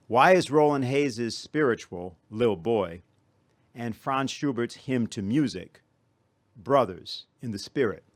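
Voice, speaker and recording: Man, conference speaker, formal prepared lecture, measured oratorical delivery, mid-pitched voice, close dry sound. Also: no echo.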